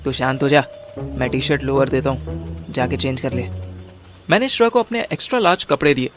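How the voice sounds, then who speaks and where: A man's voice: medium pitch, animated, brisk, over music, natural voice. A man narrating and playing a scheming, overweight amateur detective in an audio drama, with a wry, colloquial manner. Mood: sardonic. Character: overweight, scheming male amateur detective telling his story. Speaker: narrator and lead character.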